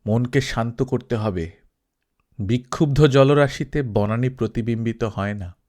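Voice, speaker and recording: A man voicing an elderly male Sufi saint who speaks gently and patiently, like a teacher. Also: mid-range voice, unhurried, natural voice. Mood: gentle.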